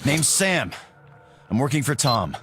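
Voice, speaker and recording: A man playing a gruff, laid-back soldier in a video game, gravelly and laconic with a drawl. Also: mid-range voice, sing-song, measured pace, noisy background. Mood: laconic, agreeable. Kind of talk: video game cutscene acting.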